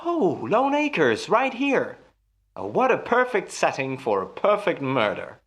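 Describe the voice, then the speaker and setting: A man's voice: fairly high pitch, wildly swooping pitch, measured pace, fairly bright tone, exaggerated voice. An actor in a radio play as a jealous husband, musing theatrically and teasing his wife with menace. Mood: playful menace.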